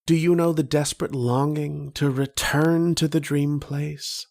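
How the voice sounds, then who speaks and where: A male actor as a haunted, wistful man recounting his dream in a radio horror play. He speaks slowly and poetically, with brooding pauses and a creaky edge, in a dry, close recording. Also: fairly high pitch, steady tone, a little mellow, no room sound. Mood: yearning, intimate. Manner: slow, poetic, brooding delivery with long pauses. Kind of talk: dramatic first-person radio horror narration.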